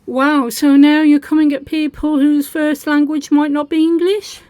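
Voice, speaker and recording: A woman's voice: high-pitched, little pitch movement, moderate pace, darkish tone, dry recording. A woman hosting reaction commentary, talking in a sarcastic, scolding way. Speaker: commentary host.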